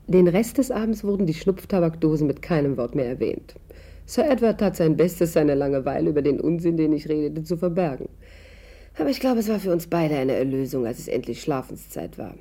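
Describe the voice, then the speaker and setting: A woman playing a cool, confident thief, narrating her adventure in a radio drama with a husky, breathy voice. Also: sits low, big pitch swings, fairly quick, mellow, heavily acted. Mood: wry. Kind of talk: first-person scripted radio drama narration.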